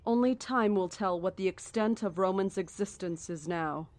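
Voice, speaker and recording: A woman plays a female cult founder in a horror audio drama, recorded close and dry. She is calm and cryptic, choosing formal words deliberately, with cold certainty and a faint menace. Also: medium pitch, dry recording, natural voice. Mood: calm, knowing.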